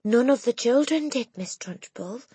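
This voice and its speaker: A woman voicing a calm, kind young female teacher who speaks steadily. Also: fairly high pitch, normal speed, husky, no echo, pitched above the performer's own voice. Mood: calm firm.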